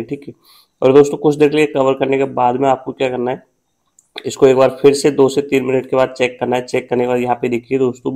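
A man, hosting a cooking channel and talking through a recipe step by step in a plain instructional voice-over. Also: mid-range voice. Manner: flat, repetitive instructional tone.

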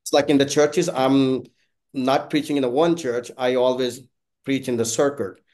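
A middle-aged man from Pakistan, a native Punjabi speaker with a Pakistani accent, chatting as an interview guest in halting, non-native phrasing. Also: mid-range voice, steady tone, darkish tone. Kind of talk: casual conversational interview. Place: small indoor office, on a video call. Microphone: video call.

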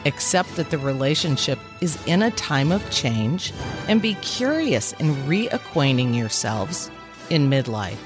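A woman in her fifties, a podcast host, delivering a mock commencement speech in a measured, deliberate way with emphatic pauses. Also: low-pitched, very animated. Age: 50s. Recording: music bed underneath.

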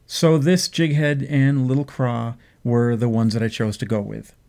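A man in his fifties, hosting a fishing video, explains his tackle choices in a measured, thoughtful way with frequent ums and uhs, filmed indoors at a desk. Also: medium pitch, level tone, vocal fry, a little mellow. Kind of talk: instructional fishing talk. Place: indoor home office.

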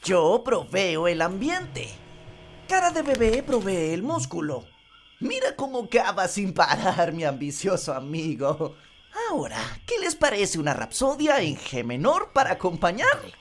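A woman voicing a flamboyant, mischievous young male cat bootlegger in an animated cartoon dub, grandiose and melodramatic, with a Latin American accent. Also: lowish voice, unhurried, a little hiss or hum. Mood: playful, theatrical.

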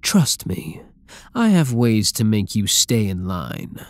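A man playing a dominant, obsessive supervillain in an ASMR roleplay, breathy and husky, speaking with slow, possessive menace. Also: lowish voice, big pitch swings, a little mellow, dry recording, exaggerated voice. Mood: menacing. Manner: slow, menacing, possessive, often dropping to a whisper. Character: dominant, obsessive male supervillain taunting captured hero. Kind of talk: ASMR roleplay, scripted dramatic monologue.

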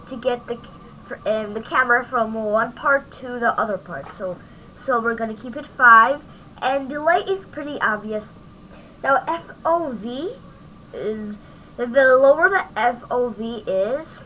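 A woman giving a casual, off-the-cuff software tutorial, thinking aloud as she demonstrates on a home microphone recording. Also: high voice, a little hiss or hum, rough recording. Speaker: tutorial host.

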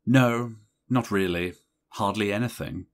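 A man reading an audiobook, voicing a brash, irritable young woman in a bridesmaid's role who talks in a shrill, insistent way. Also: measured pace, natural voice. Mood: gossiping. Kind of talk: audiobook character voice.

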